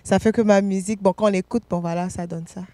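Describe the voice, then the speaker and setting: A woman's voice: medium pitch, fairly even intonation, fast talker, dark tone, no echo. A woman from Côte d'Ivoire, a singer-songwriter being interviewed, answering casually with many fillers, restarts and frequent laughs.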